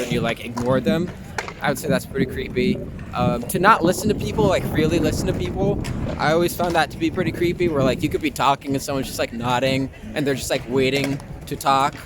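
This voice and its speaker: A man's voice: a little high, noisy background. A young adult man from Long Island giving a casual, informal and opinionated interview as an actor. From Long Island, New York. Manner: informal, mildly profane, opinionated. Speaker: interview guest, actor and performer. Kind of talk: casual street interview chat. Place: outdoors in an urban public spot. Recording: outdoor street with loud music behind.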